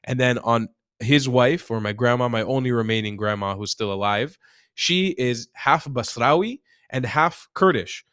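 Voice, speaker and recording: A man from Israel speaks as a journalist guest over a video call. He argues passionately and leans on rhetorical questions. His voice sits at a middle pitch with a dry, close sound. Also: moderate pace, fairly bright tone, clear, resonant tone, no room sound. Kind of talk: casual interview chat.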